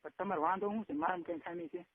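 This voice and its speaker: A man's voice: high voice, fairly even intonation, rapid-fire, dry recording. A man in his twenties with a Gujarati accent in a casual private phone chat, speaking in an insistent, coaxing way. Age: 20s. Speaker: caller. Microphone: telephone line. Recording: tinny narrowband phone call recording.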